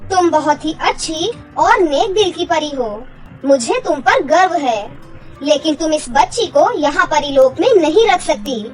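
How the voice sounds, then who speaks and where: A woman's voice: sits high, expressive, unhurried, faint music behind, rough recording. A woman voicing a wise, caring fairy queen who advises firmly in a cartoon fairy tale. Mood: concerned, advising.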